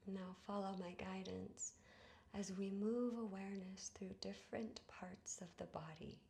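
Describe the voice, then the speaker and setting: A woman guiding a meditation in a soothing, hushed manner, with breathy phrase endings and long pauses. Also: medium pitch, fairly even intonation. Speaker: yoga nidra guide. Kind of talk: guided meditation narration.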